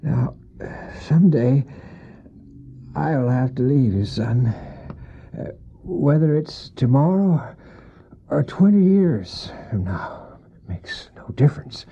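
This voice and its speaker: On a dull old cassette, an actor plays a gravely ill father in an earnest radio drama. His voice is husky and gravelly, weak with breath, and he speaks haltingly as a gentle, devout man. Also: mid-range voice, lively intonation, exaggerated voice. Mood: tender, solemn. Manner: halting, slow, faltering.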